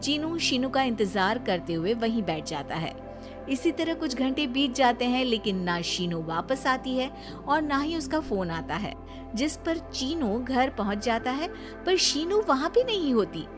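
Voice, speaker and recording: A woman narrating a cartoon story in an even storytelling manner. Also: high voice, a little slow. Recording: faint music behind.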